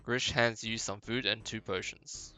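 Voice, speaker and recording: A man's voice: lowish voice, steady tone, a little slow, bright, forceful tone, faint music behind. A man narrating and voicing a video game playthrough, moving between read dialogue and casual commentary. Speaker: gamer voicing a quest playthrough.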